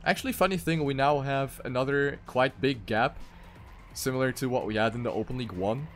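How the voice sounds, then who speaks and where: A man's voice: medium pitch, a little fast, soft background music. A man giving live commentary on a racing video game as an esports caster.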